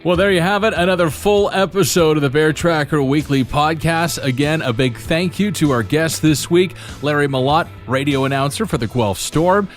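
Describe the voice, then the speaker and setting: A man's voice: on the high side, moderate pace, hardly any pauses, faint music behind. A man hosting a sports podcast, interviewing guests and addressing listeners. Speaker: podcast host.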